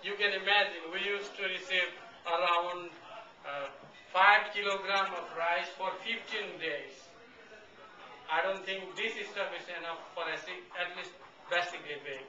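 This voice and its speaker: Earnest man, bright tense voice. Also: sits high, fairly even intonation. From Bhutan. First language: Nepali. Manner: earnest, halting, repeats phrases. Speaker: refugee guest speaker. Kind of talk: public talk. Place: meeting room, indoors. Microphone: far camcorder. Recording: echoey, distant, dull camcorder audio.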